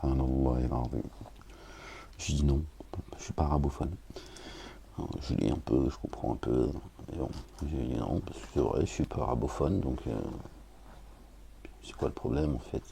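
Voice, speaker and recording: A man who talks casually with wry, grumbling asides to his listeners, then reads scripture slowly and solemnly. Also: very deep voice, vocal fry, mellow, a little hiss or hum. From France. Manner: slow, measured recitation with Arabic invocations. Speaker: preacher reading scripture.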